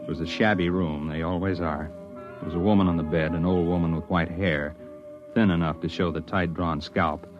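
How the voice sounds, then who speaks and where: A man's voice: low-pitched, steady tone, moderate pace, over music, natural voice. An American man playing an earnest, stubborn stage actor turned amateur sleuth in a 1950s radio drama. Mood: grim narration. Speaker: first-person narrator and lead character. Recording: dull, lo-fi 1950s radio broadcast.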